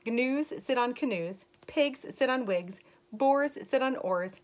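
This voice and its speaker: A woman voicing a thoughtful cat in a storybook, slowly reciting a long list of rhymes. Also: on the high side, expressive, voice pushed up. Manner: slow, measured recitation.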